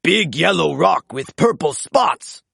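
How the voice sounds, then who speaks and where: A woman's voice: low-pitched, lively intonation, vocal fry, slightly rough, no room sound, studio quality, full character voice. Woman voicing a simple, sweet monster dinosaur truck. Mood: curious. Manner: broken toddler-like grammar, drawn-out tender words.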